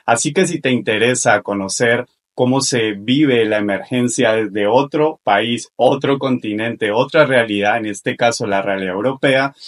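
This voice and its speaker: A male podcast host speaks in a mid-range voice. He presents in an orderly, courteous way, addressing both his guest and his audience. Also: medium pitch. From Colombia. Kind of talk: podcast introduction and interview.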